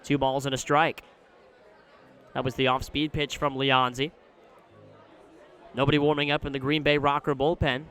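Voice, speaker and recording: Bright, forceful American baseball announcer, male. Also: mid-range voice, clean tone, a faint crowd. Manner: measured description, excited on big plays. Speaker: baseball radio play-by-play announcer. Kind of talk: live sports commentary. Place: outdoor ballpark broadcast position.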